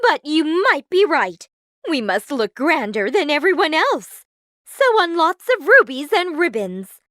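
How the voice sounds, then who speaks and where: A woman's voice: high-pitched, big pitch swings, a little slow, a bit bright, dry recording, clean studio sound, pitched above the performer's own voice, full character voice. A woman voicing a vain, spiteful young stepsister in a storybook, mocking and snappish. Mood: snappish, demanding.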